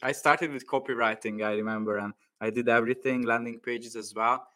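A man's voice: medium pitch, fairly even intonation, a little fast, pushed and bright, a little hiss or hum. A man hosting a podcast interview in a casual chat, with many hesitant fillers. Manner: many "uh" fillers, loose phrasing. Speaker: podcast host.

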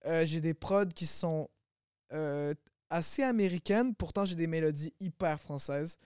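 Smooth-voiced young man. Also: on the high side, unhurried, no echo, clean studio sound. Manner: relaxed, laughs often, slangy with "man". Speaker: rapper guest. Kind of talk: casual podcast chat.

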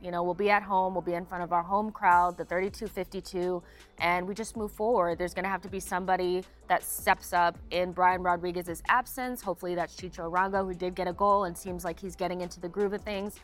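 Female sports panelist, slightly low register, measured and deliberate, soft music bed behind. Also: fairly even intonation. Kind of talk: casual soccer commentary.

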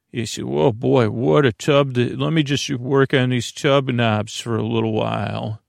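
Male podcast host for bedtime listening: gravelly, mid-pitched, halting and digressive, recorded close and dry. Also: no echo. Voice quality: gravelly, creaky. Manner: meandering, halting, digressive, trailing off mid-sentence. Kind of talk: rambling sleep podcast intro, chatty monologue.

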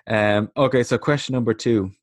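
A man's voice: medium pitch, steady tone, moderate pace, no echo. Playful man hosting a casual quiz. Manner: playful and teasing, laughing often. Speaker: quiz host. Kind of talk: casual chat.

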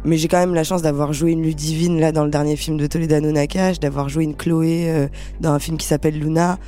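A young actress from France, talking earnestly in an interview with many fillers and restarts. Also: female voice, low voice, little pitch movement, fairly quick, mellow, soft background music. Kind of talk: casual interview talk.

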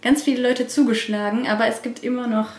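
A woman's voice: fairly high pitch, fairly even intonation, fast talker. A woman, an event organiser, answering casually in an office interview. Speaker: convention organiser being interviewed. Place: indoors in an office. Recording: echoey room, distant from microphone.

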